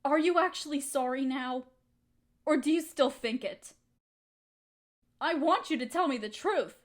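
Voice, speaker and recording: A woman's voice: sits high, unhurried. A woman playing a hurt, resentful young woman confronting her roommate in an emotional, accusatory audio roleplay monologue. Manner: emotional, accusatory, pointed rhetorical questions.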